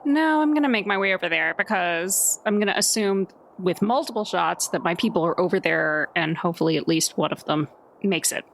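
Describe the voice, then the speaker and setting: Creaky-voiced woman chatting over a game. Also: fairly low pitch, animated, brisk. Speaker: player. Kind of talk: casual tabletop game chat. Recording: faint room noise and ambience.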